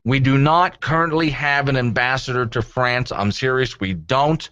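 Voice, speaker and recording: A man's voice: mid-range voice, moderate pace, a bit bright, clean tone, dry recording. An American man hosting a conversational radio call-in show, informal and wry as he puts questions. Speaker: radio talk show host. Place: radio studio. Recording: slightly dull radio broadcast air-check.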